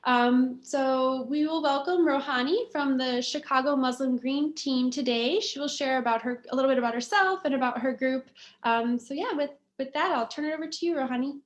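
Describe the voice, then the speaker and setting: Woman, high voice, meeting host, casual, echoey room, video call. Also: normal speed.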